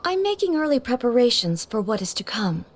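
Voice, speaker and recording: An actress playing a solemn, regal, ageless female ruler in a video game, speaking in a formal, measured, grave manner. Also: fairly high pitch, big pitch swings, very noisy. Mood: reflective. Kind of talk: video game character acting. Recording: background music behind the voice.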